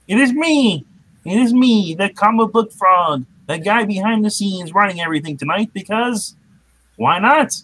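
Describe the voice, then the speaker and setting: A performer voicing a goofy, self-important male comedian frog puppet with a husky, rough voice, bantering as co-host. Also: mid-range voice, lively intonation, moderate pace, fairly bright tone, a little hiss or hum, natural voice, low-quality recording. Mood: jokey, boastful. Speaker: puppet co-host running the show behind the scenes. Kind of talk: comic live show banter.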